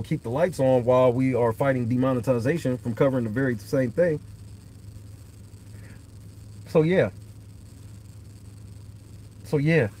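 A man in his thirties talks into a phone propped on the dashboard of his parked car at night. His voice sits at an ordinary pitch, and his talk rambles loose and profane. A faint hum of car and street noise stays underneath. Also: darkish tone, low-quality recording. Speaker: livestream host. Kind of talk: casual livestream chat.

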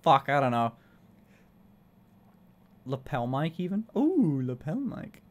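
A woman's voice: deep voice, big pitch swings, slow, darkish tone. A young woman streaming from her bedroom, chatting casually, swearing and laughing often. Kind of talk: casual chat. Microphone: desk mic on arm.

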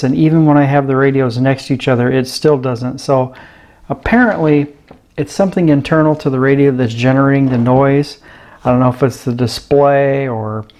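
A man giving a casual, hands-on radio review in a room at his desk, talking slowly and filling pauses with ums and uhs. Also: mid-range voice, darkish tone. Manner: unhurried, hesitant, many ums and uhs. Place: indoor room at a desk with gear. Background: radio noise and static at times.

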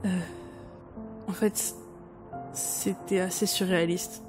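A woman playing a strong-willed, sardonic book editor in an audio drama, halting and expressive. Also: on the low side. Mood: wry, collected. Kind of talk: French audio drama acting. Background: music.

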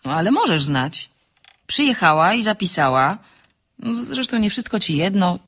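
Pushy flippant woman, brisk n impatient, comic radio play, mid pitch. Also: big pitch swings, a bit bright. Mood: urgent, persuasive. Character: insistent, flippant woman pressing a friend for a favour. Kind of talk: comic radio drama acting.

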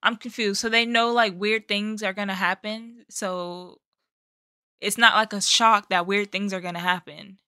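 An American woman in her twenties chatting casually as a reaction host, playful, animated and slangy, close on the microphone. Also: medium pitch, unhurried, dry recording. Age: 20s. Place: small bedroom studio, indoors. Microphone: close boom-arm microphone.

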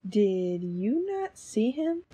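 Young woman sidekick, plain-spoken, husky, soft dark tone, audio drama acted by a woman. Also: mid-range voice, very animated, slow pace, dry recording, highs cut off, heavily acted. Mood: shaken, earnest. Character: young female companion, plain-spoken assistant investigator.

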